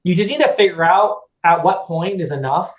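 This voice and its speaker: A man's voice: sits high, a little fast, reverberant. A man in his fifties from California, a podcast guest chatting casually, rambling with many restarts and fillers and laughing easily. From United States (California). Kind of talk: casual chat.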